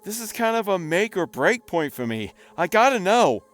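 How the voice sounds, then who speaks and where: A man's voice: high voice, very animated, normal speed, a bit bright. A man playing a casual, sardonic, hesitant soul with a guilty conscience in an audio drama. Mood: uneasy, probing. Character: newly dead man, flippant, nervous, guilt-ridden soul. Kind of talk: naturalistic audio drama acting.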